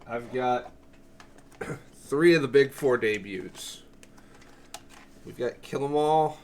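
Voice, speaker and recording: A man with a medium-pitched voice talks casually through a show-and-tell of his collection. He rambles in an offhand way, cuts himself off and curses freely. There is some noise in the recording. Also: takes it slowly. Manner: offhand, profane, self-interrupting. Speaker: music collector presenting a cassette collection.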